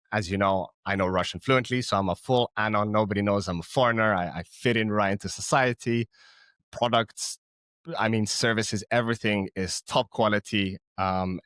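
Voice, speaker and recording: A man's voice: on the low side, fairly bright tone, no room sound, clean studio sound. A man in his thirties from Cyprus, a podcast guest talking casually with frequent restarts and fillers. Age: 30s. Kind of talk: casual interview chat.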